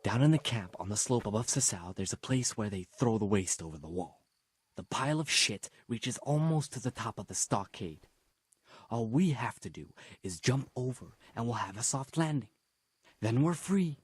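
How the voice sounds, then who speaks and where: A raspy, scheming turncoat guard. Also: male voice, mid-range voice, expressive, normal speed, dry recording. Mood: conspiratorial, instructing. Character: shifty camp guard turned escape accomplice, scheming. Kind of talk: video game cutscene acting.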